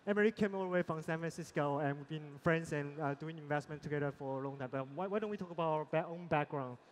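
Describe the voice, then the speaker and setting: A middle-aged man, an investor, moderating a fireside chat on a large conference stage in a relaxed way with many fillers, through a headset mic. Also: on the high side, quick pace, slight background noise. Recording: long hall reverb, faint ambience.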